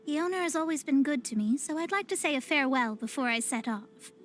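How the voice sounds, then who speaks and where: A woman's voice: sits high, lively intonation, quick pace. A woman voicing a proud young woman astrologer in a video game, speaking in a pompous, formal, precise way. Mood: pleased, composed. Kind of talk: scripted video game voice acting. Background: game music.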